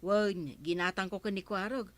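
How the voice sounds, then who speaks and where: An actress with an Ilocano accent playing a sociable village neighbour in an audio drama. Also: female voice, medium pitch, steady tone, normal speed, close to an everyday voice. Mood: chatty. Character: sociable village woman, neighbour who sells goods.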